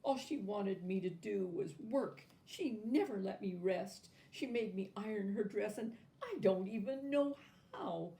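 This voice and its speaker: A woman voicing a tired, gentle little girl who speaks softly and pleadingly. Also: mid-range voice, very animated, takes it slowly, voice pushed up. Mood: tearful. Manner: pleading, soft.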